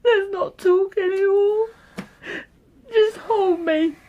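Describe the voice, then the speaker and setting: A distraught young working-class wife from in a radio play. Her voice sits high and moves slowly, with a submissive, pleading, emotional delivery. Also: dull audio. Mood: tearful, pleading. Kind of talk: radio drama acting.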